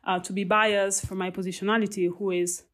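A young adult woman from Italy with an Italian accent, talking thoughtfully about her research, with many pauses and restarts. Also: medium pitch, level tone, fairly bright tone. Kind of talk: reflective conversational talk.

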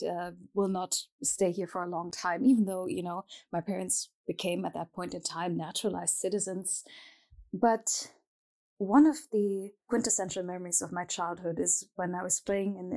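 A young woman from Germany whose mother tongue is Arabic, a researcher speaking thoughtfully and softly about her own life, with pauses and the odd small laugh. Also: lowish voice. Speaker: researcher telling her story. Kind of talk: reflective personal testimony to camera.

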